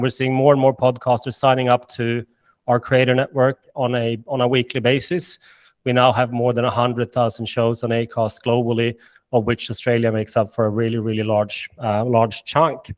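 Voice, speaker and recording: A man's voice: medium pitch, little pitch movement, normal speed. A man with a Swedish accent presenting a business webinar in an upbeat way, with many fillers and restarts. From Sweden and Finland. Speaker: managing director presenting.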